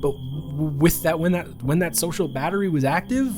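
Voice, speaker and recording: A man's voice: a little high, normal speed, darkish tone, faint music behind, natural voice. A young man playing a nervous, earnest, sweetly awkward college student in a romantic audio roleplay, stammering and rambling with emphatic repetition. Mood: admiring, earnest.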